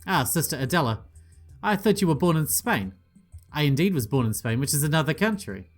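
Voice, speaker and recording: A man's voice: on the high side, very animated, a little fast, soft background music. A man playing through a visual novel, reading every part aloud with playful asides and the odd swear. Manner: playful, swearing asides, voices each character. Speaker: gaming channel host.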